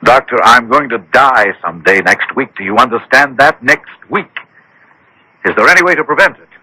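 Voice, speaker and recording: Nervy rich occult buff in an old radio play, very sharp husky voice, defensive. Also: male voice, medium pitch, lively intonation, moderate pace, exaggerated voice, low-quality recording. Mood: anxious, insistent. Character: wealthy, anxious, defensive man obsessed with the occult. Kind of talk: 1980s radio drama acting. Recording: old radio drama broadcast.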